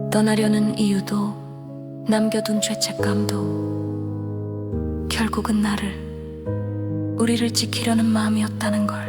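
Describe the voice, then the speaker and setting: A woman speaks very slowly and wistfully over loud instrumental music. Her delivery is soft and sentimental, like the spoken interlude of a ballad. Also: medium pitch.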